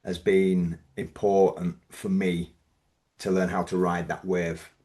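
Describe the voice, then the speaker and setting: A man with a Yorkshire accent, a business owner talking as a podcast guest, thoughtful and measured, often restarting his sentences. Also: sits low, flat delivery, a little mellow. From Yorkshire, England. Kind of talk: reflective podcast interview.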